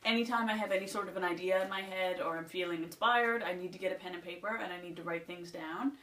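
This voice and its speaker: A woman's voice: fairly low pitch, fast, sharp tone, slight background noise. A young woman from Nova Scotia with a Nova Scotian twang, rambling chattily through a list in a casual beauty vlog. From Nova Scotia, Canada. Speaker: beauty vlogger. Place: indoors at home, seated. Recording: roomy sound from a distant mic.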